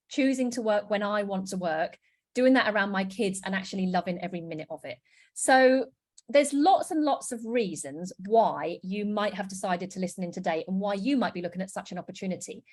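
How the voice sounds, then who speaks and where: A British woman in her thirties from the UK, giving an enthusiastic online business presentation over a video call. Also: mid-range voice. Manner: enthusiastic, upbeat, gushing.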